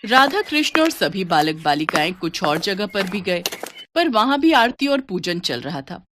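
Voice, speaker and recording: A woman's voice: mid-range voice, sing-song, unhurried, background noise. A woman narrating a scripted devotional moral story as a storyteller.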